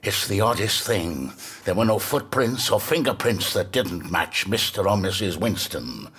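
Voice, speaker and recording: A big village policeman in his late fifties for an audio thriller. He is deep-voiced and unhurried, with expressive swings and a bright edge. His manner is gruff, evasive and condescending. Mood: uneasy, grudging. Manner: slow, grudging, condescending. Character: heavy-set late-fifties male village constable, gruff, evasive, wary. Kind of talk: full-cast audio drama dialogue.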